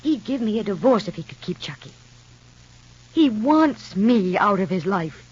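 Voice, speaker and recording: An American actress playing an insistent, exasperated middle-aged mother in a vintage radio soap, with a husky voice. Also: mid-range voice, sing-song, unhurried. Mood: earnest, pleading. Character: insistent, exasperated mother figure lecturing a younger woman. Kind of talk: 1950s radio soap acting. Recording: dull vintage radio recording.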